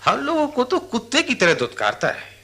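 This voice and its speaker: An actor in a radio drama playing a poor, resigned labourer, a sympathetic friend who speaks in a confiding, plaintive way. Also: male voice, very high-pitched, huge pitch swings, moderate pace, bright, forceful tone, full character voice. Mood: concerned, grumbling.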